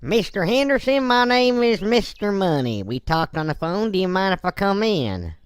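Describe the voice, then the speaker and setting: A man plays a businessman and dad in a Minecraft roleplay. The voice is high and swoops freely, clean and close. He comes across folksy and blunt, with courteous, hesitant turns. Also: sing-song, moderate pace, smooth, dry recording. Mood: businesslike, courteous. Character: folksy male Texan businessman, ashamed father, blunt but courteous.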